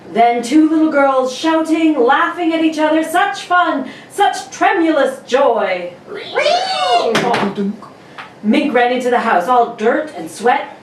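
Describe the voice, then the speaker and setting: A woman announcer reading dramatic radio-play narration with theatrical, emphatic phrasing. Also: a little high. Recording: distant roomy mic with faint noise.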